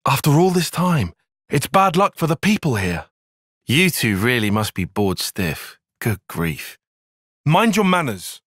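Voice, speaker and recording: Brainy young British soldier, earnest, cautious, articulate, expressive pitch, close dry studio sound, video game cutscene. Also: male voice, measured pace, no echo. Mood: dry, exasperated. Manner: precise, formal, articulate.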